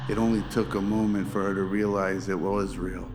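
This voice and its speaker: Deep, even-toned male story narrator. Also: normal speed, over music. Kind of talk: scripted narration, radio drama.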